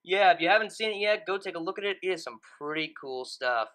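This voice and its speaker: A woman's voice: on the low side, animated, quick pace, a bit bright. A woman hosting and reading stories, speaking casually and emphatically with enthusiasm. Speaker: channel host and story reader. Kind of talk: casual vlog-style update and story narration.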